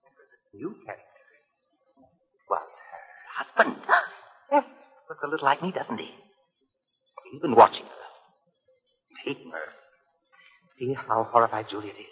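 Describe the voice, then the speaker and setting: Husky, gloating murderous husband. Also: male voice, medium pitch, sing-song, moderate pace, pauses often. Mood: gloating menace. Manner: gloating, theatrical. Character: menacing, gloating cartoonist husband plotting his wife's murder. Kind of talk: 1940s radio acting. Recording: muffled, reverberant old radio recording.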